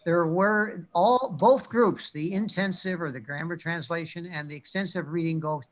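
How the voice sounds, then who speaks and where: A man on a discussion panel, telling anecdotes in a thoughtful way with frequent pauses and fillers. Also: fairly high pitch. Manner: thoughtful, many fillers, storytelling. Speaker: panel speaker, reading researcher.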